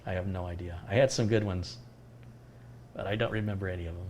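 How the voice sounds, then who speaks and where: Middle-aged male host, low voice, calm and reflective, light background hum. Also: fast talker. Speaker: tech livestream host answering viewer questions. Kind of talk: casual live Q&A chat.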